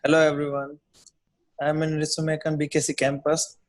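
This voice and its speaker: A man's voice: fairly high pitch, monotone, fairly quick. A man, a graduate student introducing himself carefully. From India. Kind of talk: casual self-introduction.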